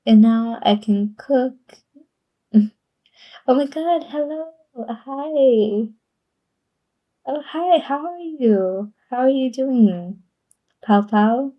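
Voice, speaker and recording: Slow, giggly woman streamer. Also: sits high, animated, lo-fi sound. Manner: giggly, chatty, laughs often between phrases; rambling with frequent repeats and restarts. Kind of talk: casual live-stream Q&A chat.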